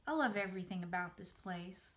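A woman's voice: fairly low pitch, lively intonation, brisk, fairly bright tone. A woman voicing a young pig from a storybook, childlike, affectionate and anxious, with an eager, pleading manner. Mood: fearful, tender.

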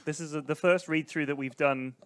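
A man's voice: a little high, fairly even intonation, fairly quick. A man chatting casually as he hosts and introduces a radio play on a live stream.

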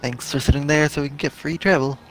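A man's voice: fairly high pitch, steady tone, fast, rough recording. A man streaming a game, chatting casually with his friends as he plays. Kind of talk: casual gaming chat with friends. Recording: game music behind the voice. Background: video game music.

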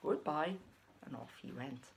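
Older woman, children's puppet storyteller, lively intonation, creaky edge, home device recording. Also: fairly low pitch, slow pace, very noisy. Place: small room at home.

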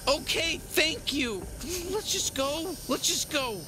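A man's voice: very high-pitched, lively intonation, slow, noisy background, heavily acted. A man playing a nervous, baffled talking alien gun in a comedy video game, stammering and incredulous. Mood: hurried.

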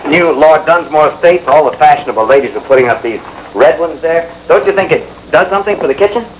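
An American actor playing a harried, polite telephone installer who speaks in a hesitant, placating, folksy way. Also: male voice, a little high, lively intonation, fast talker, slight background noise, close to an everyday voice, rough recording. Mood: coaxing. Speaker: actor in a training film. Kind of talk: 1960s training film acting. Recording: old film soundtrack, music behind.

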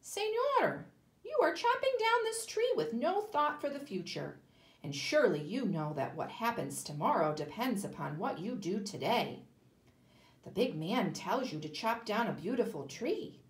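A woman's voice: a little high, sing-song, measured pace, pitched above the performer's own voice. A woman doing a grave, reproachful anteater. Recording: echoey room.

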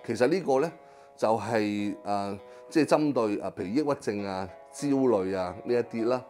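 Calm Hong Kong Cantonese male doctor. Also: fairly low pitch, faint music behind. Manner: measured, pausing often with drawn-out fillers. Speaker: Chinese medicine practitioner. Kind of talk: explanatory interview talk. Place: small clinic consulting room.

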